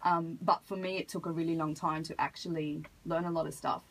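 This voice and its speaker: A woman answering as a radio interview guest, earnest and measured, picking her phrasing hesitantly as she explains. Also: sits low, level tone, low-quality recording. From Australia. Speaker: hip hop artist, interview guest. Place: radio studio. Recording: noticeable background noise.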